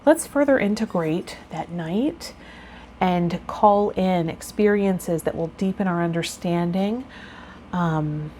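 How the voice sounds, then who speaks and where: A woman's voice: on the low side, takes it slowly, warm tone, slight background noise. A woman speaking to viewers as a tarot vlogger and spiritual guide, reading aloud and chatting.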